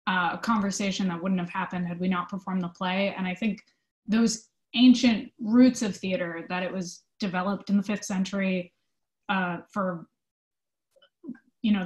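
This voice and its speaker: A woman giving conversational interview answers as a theatre company manager, casual in her phrasing. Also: medium pitch.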